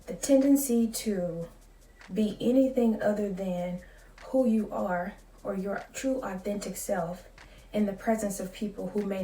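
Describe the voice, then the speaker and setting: A woman in her late thirties, a school psychologist, talking in a soft, calm and measured way in a casual vlog. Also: medium pitch, echoey room, far from the mic. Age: 30s.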